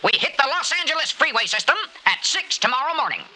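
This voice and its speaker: Male actor as brisk squad leader, very forceful tone, lively pitch swings, clipped briefing, radio advert skit. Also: exaggerated voice, poor audio. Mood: brisk, earnest. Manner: rapid, clipped, earnest briefing. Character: brisk squad leader briefing his men, mock-solemn.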